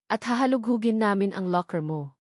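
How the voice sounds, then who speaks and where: A woman's voice: medium pitch, lively intonation, fairly quick, a little mellow, no room sound, studio quality, natural voice. A woman voicing a stern, demanding security guard in a narrated drama story. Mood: threatening. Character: stern, threatening security guard interrogating a suspect.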